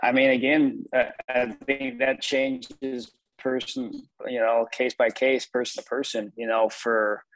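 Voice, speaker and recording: A middle-aged man, a sports performance executive, talking in a measured, reflective way with many casual fillers. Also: medium pitch, little pitch movement, a bit bright, rough recording. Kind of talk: casual interview over a call.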